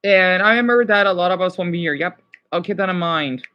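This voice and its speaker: A man chatting casually to live viewers as a streamer, rambling and halting as he jumps between thoughts. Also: sits high, pushed and bright, clear, resonant tone, muffled recording. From United States.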